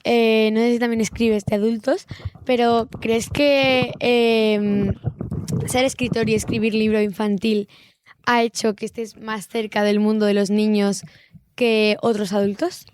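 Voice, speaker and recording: A woman's voice: a little high, level tone, a little slow, darkish tone, clear, resonant tone. A woman asking informal interview questions as a volunteer, in a hesitant way.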